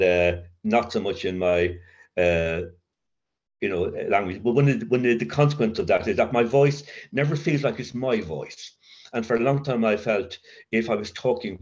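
An older man who stutters, telling his recovery story in a halting, reflective way with gentle dry humour. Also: on the low side. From Ireland. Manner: stuttering, halting with blocks and repeats. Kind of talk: personal testimony. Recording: slightly dull, muffled recording.

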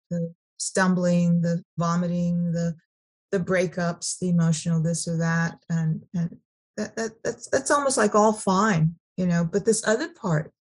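A middle-aged woman from California sharing her personal story at a recovery meeting, halting, with many restarts and repeated words. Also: lowish voice. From Sacramento, California.

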